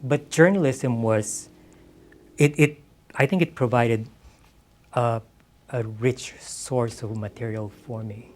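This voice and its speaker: A man's voice: mid-range voice, takes it slowly, pauses often. A middle-aged man from the Philippines, a writer talking casually and reflectively at a live event. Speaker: writer, interview guest. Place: live public literary event.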